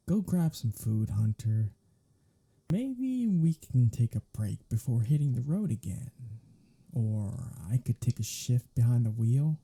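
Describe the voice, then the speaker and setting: Man playing a teasing vampire, dark husky voice, slow drawl, sardonic and flirty, audio drama. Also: mid-range voice, full character voice. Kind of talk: ASMR roleplay audio drama.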